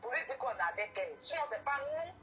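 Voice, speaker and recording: A woman's voice: high-pitched, big pitch swings, fast, fairly bright tone, muffled recording, a little hiss or hum. A woman speaking emphatically on a live stream, repeating her points and restarting sentences. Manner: emphatic, repetitive, hesitant restarts. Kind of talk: casual live-stream talk.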